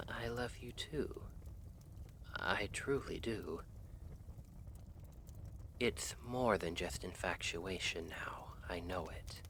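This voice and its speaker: Casting: male actor as lovestruck noble assassin for ASMR roleplay, deep voice, unhurried, formal and earnest. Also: fairly bright tone, slight background noise, exaggerated voice. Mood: tender, resolved. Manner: measured, formal, hesitant phrasing.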